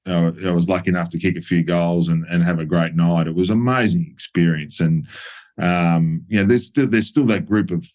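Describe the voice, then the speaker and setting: A middle-aged Australian man from New South Wales, a former footballer, reminiscing in a relaxed interview with frequent ums and restarts. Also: low-pitched, brisk. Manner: many fillers and restarts, chuckles at stories.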